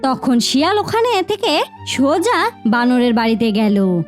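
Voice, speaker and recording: High-pitched animated woman storyteller. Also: measured pace, faint music behind. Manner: expressive storytelling. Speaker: narrator. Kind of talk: scripted fairy-tale narration.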